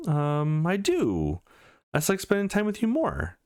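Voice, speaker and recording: A man's voice: on the high side, huge pitch swings, unhurried, darkish tone, no echo, studio quality, pitched above the performer's own voice, full character voice. A man voicing a timid, wounded young schoolgirl in a visual novel, hesitant and pleading.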